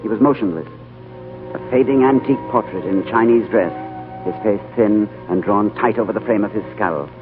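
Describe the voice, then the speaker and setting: A man narrating and acting the lead in a 1930s radio drama, with an urbane, wry and measured manner. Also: fairly low pitch. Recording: tinny old radio broadcast with music.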